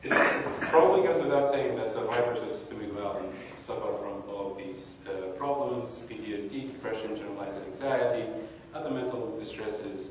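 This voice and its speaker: A young man giving an academic presentation, speaking hesitantly with many pauses and restarts. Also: mid-range voice, a little mellow, slight background noise. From Kurdistan, northern Iraq. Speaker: conference speaker. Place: large conference hall. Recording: echoey hall, distant mic.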